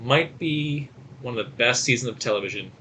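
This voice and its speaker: A man with a bright, crisp-edged voice co-hosts a podcast. He talks in an informal, conversational way while giving opinions. There is faint noise and ambience behind him. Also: mid-range voice, a little fast, rough recording. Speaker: podcast co-host reviewing movies and shows. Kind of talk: casual chat.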